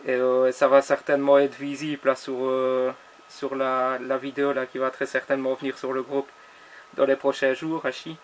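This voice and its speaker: A man with a Belgian French accent, an amateur radio operator, chatting casually and haltingly with repetitions. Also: mid-range voice, monotone, a little hiss or hum. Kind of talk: casual ham radio chat.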